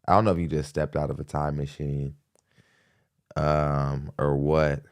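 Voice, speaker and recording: A man's voice: rumbling low, level tone, a little slow, no echo. A man hosting a podcast, giving blunt, mocking live reaction commentary with laughs and repeated jabs. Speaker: podcast host reacting to a clip.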